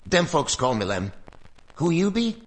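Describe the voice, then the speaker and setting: A man's voice: on the high side, sing-song, unhurried. A man playing a nosy, rustic swamp local in an audio drama. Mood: suspicious, probing.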